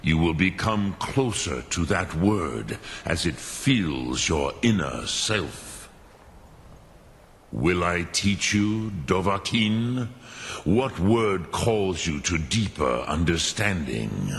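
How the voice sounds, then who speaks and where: An ancient, wise dragon teacher in a fantasy game. The voice is extremely deep and gravelly, unfolding very slowly in ponderous, contemplative musings. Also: male voice, big pitch swings, slight background noise, full character voice. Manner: ponderous, musing, pausing, sprinkles in dragon-tongue words. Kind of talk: fantasy video game acting.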